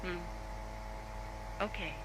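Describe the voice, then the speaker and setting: A woman playing a calm, businesslike interviewer, putting questions to a witness in a scripted horror drama. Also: very slow. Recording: noticeable hum and noise.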